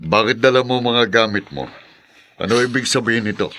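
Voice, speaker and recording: A man's voice: mid-range voice, brisk, sharp tone, natural voice. A man playing a domineering, scornful middle-aged father with a Filipino accent in a radio drama. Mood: suspicious.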